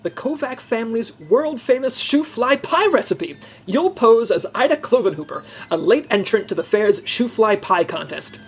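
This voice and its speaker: An actress playing a businesslike, commanding spy chief giving a briefing in an audio comedy. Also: female voice, fairly high pitch, animated, husky. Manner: brisk, instructing. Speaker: spy agency section chief. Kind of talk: comedy audio drama acting.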